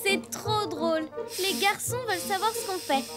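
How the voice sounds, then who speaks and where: A woman's voice: very high voice, moderate pace. A woman playing a confident, scheming young elephant girl in a cartoon dub, perky and sure of herself. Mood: gleeful. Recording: loud music behind the voice.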